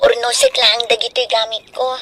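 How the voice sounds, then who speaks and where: An actress with a northern Philippine accent playing a dutiful, gentle young student daughter, respectful and sweet, in a radio drama. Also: female voice, fairly high pitch, sing-song, normal speed, gravelly, crowd noise. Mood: polite, reluctant.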